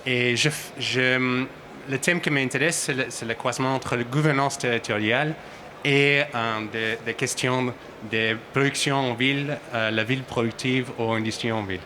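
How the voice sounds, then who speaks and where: A man from Australia speaking with an Australian English accent as a researcher on a conference panel, halting and restarting often as he searches for words. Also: mid-range voice, fairly even intonation, bright, forceful tone, a little hiss or hum. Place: conference hall.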